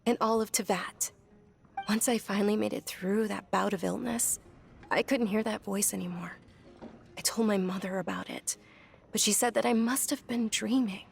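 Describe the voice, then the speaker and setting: A woman playing a sincere, devout young noblewoman in a video game, speaking articulately and softly. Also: medium pitch, creaky. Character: earnest, devout young noblewoman recounting her childhood. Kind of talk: game dialogue and reflective narration.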